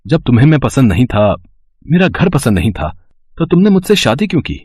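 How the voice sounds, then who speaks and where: A man's voice: medium pitch, big pitch swings, a little fast, a little mellow, breathy edge, dry recording. A man playing a stubborn, angry young village husband, curt and confrontational.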